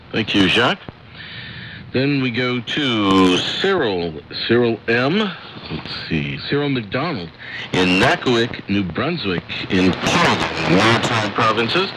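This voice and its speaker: A man hosting a radio program, informally reading out listener reports and hesitating over his notes. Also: sits low. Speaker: radio host. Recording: noisy shortwave radio reception.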